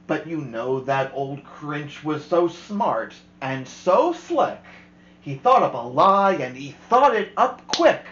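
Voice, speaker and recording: A woman host reading a children's rhyming picture book aloud with expressive, rhythmic delivery. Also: deep voice, takes it slowly, echoey room, muffled recording, a little hiss or hum. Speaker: storyteller host.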